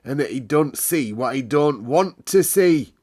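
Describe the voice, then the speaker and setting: A man voicing a thin, stern old manservant with a husky voice in a storybook reading. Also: medium pitch, animated, a little slow, a little mellow, pitched above the performer's own voice. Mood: stern, warning.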